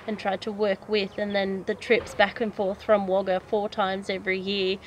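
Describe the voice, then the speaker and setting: A woman's voice: medium pitch, flat delivery, moderate pace. A woman in her twenties with an Australian accent, chatting casually in an easygoing, unscripted way as a horse rider being interviewed. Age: 20s. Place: outdoors at wooded pony club grounds. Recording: outdoor ambience with background noise.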